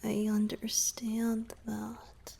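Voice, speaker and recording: A woman voicing a lovesick young merman in a romantic ASMR roleplay, with a hesitant, earnest delivery in a breathy whisper. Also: a little high, monotone, drawn-out words, dark tone, slight background noise, full character voice. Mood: melancholy, yearning. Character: lovesick young merman, tender, anxious and yearning.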